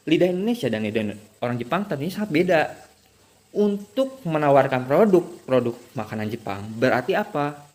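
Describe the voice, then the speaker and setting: A man giving an academic presentation. He explains in a lecture tone, answers his own rhetorical questions and lists points in order. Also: fairly high pitch. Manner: asks himself rhetorical questions and answers them; lists points one after another.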